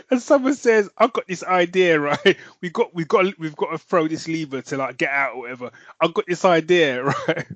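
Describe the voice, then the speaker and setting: A man's voice: high-pitched, dry recording. A man co-hosting a podcast in casual chat, telling stories with lots of laughter and a habit of saying "man". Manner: laughing, animated storytelling with "man" and "mate". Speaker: podcast co-host.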